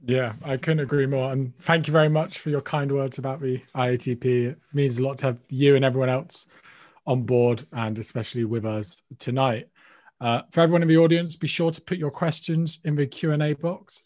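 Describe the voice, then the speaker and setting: A man's voice: medium pitch, darkish tone, dry recording, clean studio sound. A young man moderating an online panel discussion from a room, speaking over a video call. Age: young adult. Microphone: video call microphone.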